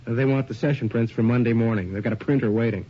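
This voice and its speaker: An actor playing a brisk, bantering male studio boss in a radio drama, casual and teasing. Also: mid-range voice, warm tone, slightly rough, lightly acted. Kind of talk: 1980s radio drama acting. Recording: dull, lo-fi radio recording.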